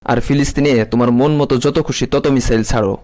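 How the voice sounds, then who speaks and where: A man's voice: mid-range voice, fairly even intonation, quick pace, background noise, close to an everyday voice. A man voicing a bossy, scolding cartoon uncle with a Bangladeshi accent, in colloquial comic satire. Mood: commanding. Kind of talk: comic animated satire dubbing.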